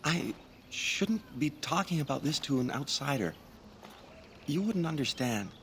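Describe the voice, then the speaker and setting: Timid lovesick young male elf, game dialogue, highish swooping voice, hesitant and easily frustrated. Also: expressive, a little slow, fairly bright tone, slight background noise. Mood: reluctant, downcast. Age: young adult. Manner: hesitant, stammering, earnest. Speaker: video game character.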